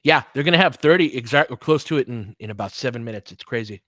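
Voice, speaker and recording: A man's voice: mid-range voice, animated, fast, sharp tone, no room sound, studio quality. A man calling a basketball game in a conversational, slangy way, swearing casually as he mixes play-by-play with analysis. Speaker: podcast host doing play-by-play.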